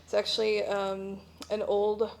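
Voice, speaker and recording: A middle-aged woman gives an informal talk as a missionary presenter. She explains things conversationally, in a mid-pitched voice. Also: monotone, a little slow, creaky. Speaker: missionary speaker at a church breakout session.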